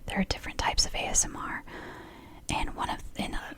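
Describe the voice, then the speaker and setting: A woman's voice: a little fast, fairly bright tone. A woman in her thirties reading aloud in an ASMR whisper, with chatty asides and apologies, her throat sore from whispering. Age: 30s. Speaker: ASMR creator. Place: home.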